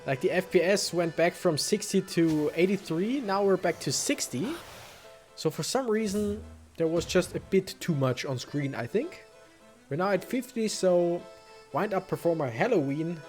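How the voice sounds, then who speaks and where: A man's voice: high voice, expressive, moderate pace, a little mellow. A man hosting a let's play with casual, rambling commentary, thinking aloud as he plays. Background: faint video game music.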